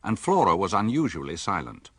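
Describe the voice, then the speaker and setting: Low, husky village doctor. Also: male voice, sing-song, a little fast, a bit bright, dry recording, studio quality, full character voice. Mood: measured narrating. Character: mild, measured middle-aged male village doctor. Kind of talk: radio drama dialogue and first-person narration.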